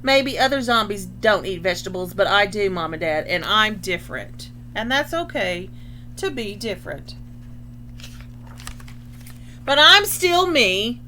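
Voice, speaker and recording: A woman's voice: medium pitch, expressive, unhurried, pauses often, bright, forceful tone, slight background noise, voice pushed down. A woman voicing an earnest young zombie boy from a children's storybook, speaking plainly. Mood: earnest, pleading.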